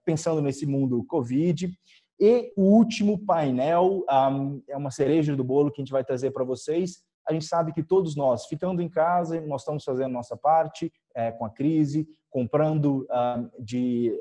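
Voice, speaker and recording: A man in his thirties with a Brazilian Portuguese accent, hosting an online event informally in a halting, filler-heavy way over a video call from home. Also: fairly high pitch, dark tone. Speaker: event host. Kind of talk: introducing an online panel event, informal presenting. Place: indoors in a living room at home. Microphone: video call with wired earphones.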